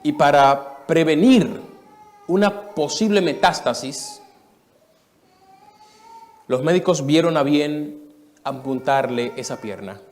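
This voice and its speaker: A man in his thirties from the Dominican Republic, preaching a sermon testimony to a live church audience in an earnest storytelling way, moving between soft and emphatic. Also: on the high side, lively intonation, slow pace, pauses often.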